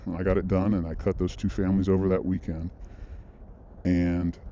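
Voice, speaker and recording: A man's voice: deep voice, level tone, normal speed, dark tone, a little hiss or hum. A man vlogging, telling a personal story in a loose, rambling way, full of restarts and side notes. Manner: rambling, with frequent restarts and asides. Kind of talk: casual storytelling monologue.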